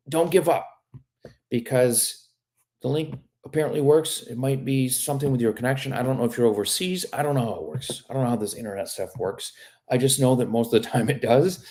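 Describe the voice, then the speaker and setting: A man's voice: medium pitch, darkish tone. A man working as an immigration lawyer, answering viewer questions on a live stream in a casual, frank way and often tagging on "okay?". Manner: reads viewer questions aloud and answers them.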